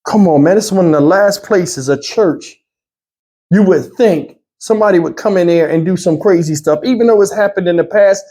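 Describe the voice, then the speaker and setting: Male online commentator, high but soft-edged voice, speaking off the cuff with pleading exasperation. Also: normal speed. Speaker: YouTube news commentator. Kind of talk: off-the-cuff opinion commentary to viewers.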